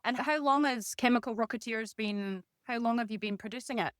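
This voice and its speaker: Female podcast host, interviewer, slightly high voice, dry close recording. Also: a little fast, no echo. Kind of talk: scripted introduction and interview questions.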